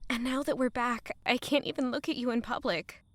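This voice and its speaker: A woman's voice: on the high side, fairly even intonation, fairly quick, no room sound, close to an everyday voice. An actress playing a guilt-ridden, selfless young woman in love, speaking earnestly and emotionally in an intimate audio drama. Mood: wistful.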